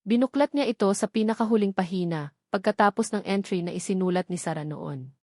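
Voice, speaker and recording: A woman's voice: mid-range voice, fairly quick, darkish tone, no echo, clean studio sound. A woman with a Filipino accent narrating a scripted romantic story in a sentimental, earnest read-aloud manner. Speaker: audiobook narrator.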